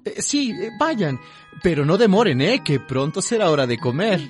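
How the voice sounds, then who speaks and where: A man's voice: sits high, sing-song, moderate pace. An affectionate, trusting father of a small girl played by a man in a radio play. His speech swings expressively, with faint music behind it. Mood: easygoing. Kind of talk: radio drama acting.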